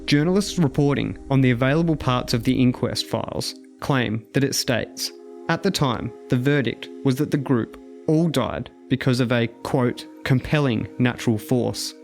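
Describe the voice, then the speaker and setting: A man narrates a scripted documentary for a podcast. His voice sits at a medium pitch for a man, with faint music playing underneath. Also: normal speed, gravelly.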